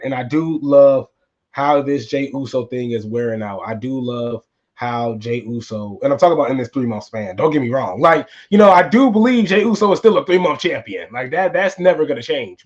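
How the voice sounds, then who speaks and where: A man hosting a solo wrestling recap podcast, tired with a voice going hoarse, talking in a casual, rambling way that repeats itself. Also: mid-range voice, highs cut off. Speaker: podcast host.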